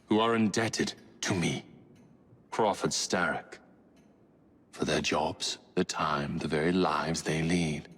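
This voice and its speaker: Very deep voice, haughty English Victorian tycoon, pompous, menacing game villain, long hall reverb. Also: male voice, fairly bright tone, breathy edge, heavily acted. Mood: cold menace. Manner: pompous, slow, deliberate oratory. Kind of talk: dramatic video game acting.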